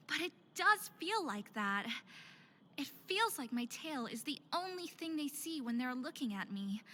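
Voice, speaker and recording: Sensitive young hybrid heroine, high voice, bright tone, introspective, expressive, visual novel acting. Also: female voice, full character voice. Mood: reflective. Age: young adult. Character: sensitive, anxious young faerie-human hybrid woman. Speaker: lead character narrator. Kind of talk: visual novel voice acting, soliloquy and diary narration.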